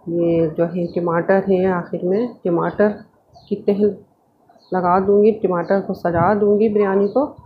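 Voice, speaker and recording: Woman narrating a recipe, muffled noisy recording. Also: medium pitch. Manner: repetitive, explains each action as she does it. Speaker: home cook hosting a recipe video. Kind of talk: step-by-step cooking narration. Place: indoor home kitchen. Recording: dull lo-fi phone-like home recording, clear background noise.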